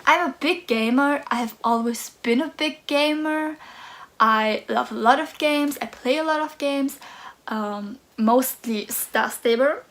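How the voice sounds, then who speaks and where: A woman's voice: high voice, a little slow. A woman in her twenties from Austria, with a German accent, talking nervously and hesitantly in a casual book vlog. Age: 20s. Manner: nervous, hesitant, soft-spoken. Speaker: book vlogger.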